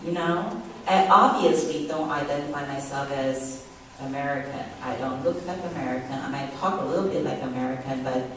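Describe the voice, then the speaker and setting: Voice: medium pitch, moderate pace, slight background noise. A middle-aged speaker from Taiwan, a native Mandarin speaker with a Taiwanese accent, giving a personal testimony talk with many fillers and restarts. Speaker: presenter. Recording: echoey room, distant mic.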